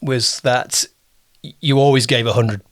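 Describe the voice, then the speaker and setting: A man's voice: medium pitch, steady tone, unhurried, a bit bright. A man hosting a casual interview as a producer, talking informally and often rephrasing himself as he goes.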